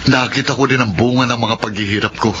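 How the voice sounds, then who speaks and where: A man's voice: mid-range voice, fairly even intonation, fast talker, highs cut off, noisy background, natural voice. A man voicing a dutiful eldest brother who raised his younger siblings, in a radio drama, speaking in an earnest, fatherly way. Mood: caring.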